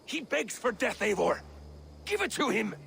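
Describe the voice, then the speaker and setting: A scornful, bragging warrior. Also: male voice, very high-pitched, very animated, moderate pace, vocal fry, a bit bright, a little hiss or hum, heavily acted. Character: hot-headed male warrior, boastful and bloodthirsty. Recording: game cutscene over music.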